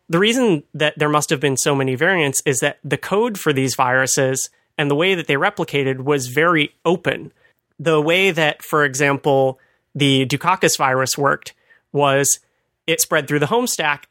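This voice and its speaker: A man's voice: on the high side, sharp tone, no room sound, studio quality. A man hosting a podcast, explaining things in a casual, chatty way and laughing at his own asides. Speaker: podcast host.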